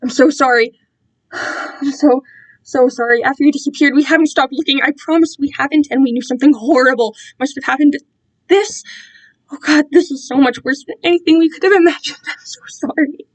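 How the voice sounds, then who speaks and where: A woman's voice: sits high, a little fast, lightly acted, low-quality recording. A woman voicing a protective young male captive in a story, urgent, anguished and stammering. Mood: anguished, reassuring.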